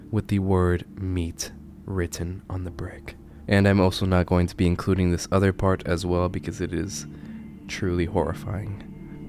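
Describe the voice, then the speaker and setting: A man narrating a scripted horror commentary video as its host, with a grave, deliberate storytelling delivery. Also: low-pitched. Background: music under the voice.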